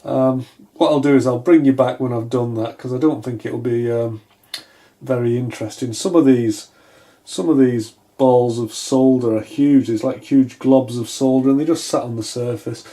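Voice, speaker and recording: Brief: male hobbyist repairer, soft-edged mellow tone, casual and unsure, thinking aloud at the bench. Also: medium pitch, fairly even intonation, moderate pace. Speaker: electronics repair hobbyist, video host. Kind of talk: casual hands-on repair commentary. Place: indoors at a wooden workbench.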